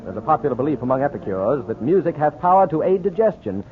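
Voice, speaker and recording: Animated 1940s American radio announcer, male. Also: on the high side, fast talker, phone-quality sound. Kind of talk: 1940s radio announcing, scripted narration and advertising. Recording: thin 1940s radio recording, music behind.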